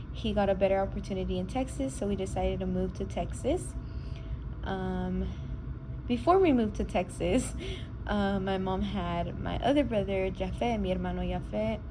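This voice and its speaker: A teenage girl from the U.S. talks through a school project in a loose, halting way, slipping Spanish words for her relatives into her English. Her voice sits at an ordinary pitch for a young woman. Steady background noise runs clearly under the recording. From United States. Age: late teens. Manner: halting, unscripted, drops in Spanish family words. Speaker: student presenting a project. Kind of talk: casual spoken presentation.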